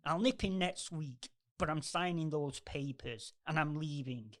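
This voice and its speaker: A man playing a selfish, bitter older businessman with a gruff, sneering manner in an amateur radio play. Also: on the high side, a little slow, fairly bright tone. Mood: scornful.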